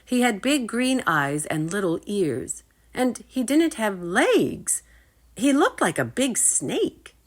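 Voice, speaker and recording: A woman reading a storybook aloud to children, expressively, speaking straight to her listeners. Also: fairly low pitch. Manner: engaging listeners with asides.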